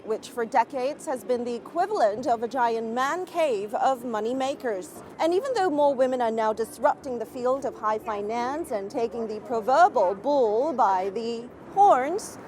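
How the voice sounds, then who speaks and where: Higher-pitched woman reporting business news. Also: darkish tone. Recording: music under voice, some location noise.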